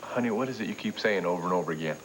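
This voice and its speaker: A man's voice: sits low, very fast, slight background noise, lightly acted, rough recording. An actor playing a cheerful young husband who keeps reassuring his wife. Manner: cheerful, encouraging, lively. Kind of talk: daytime soap acting.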